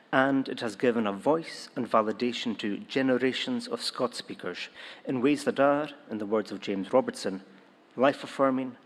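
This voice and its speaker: A man delivering a formal, stately laureation address at a university ceremony. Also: medium pitch. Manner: stately and declamatory, reading a prepared tribute. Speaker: university orator presenting an honorary graduate. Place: large ceremonial hall. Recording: long reverb of a large hall.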